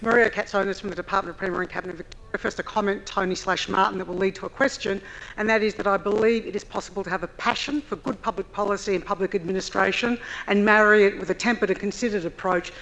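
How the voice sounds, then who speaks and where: A woman from the audience putting a formal, measured question to a panel, laying out her points one by one. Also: mid-range voice, a bit bright, poor audio. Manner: measured, deliberate, enumerating points. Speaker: audience member asking a question. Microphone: handheld audience microphone.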